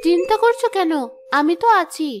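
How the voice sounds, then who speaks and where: A woman voicing a calm, resourceful young wife in a cartoon, steady and reassuring. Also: very high-pitched, moderate pace, music in the background. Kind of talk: Bengali cartoon voice acting.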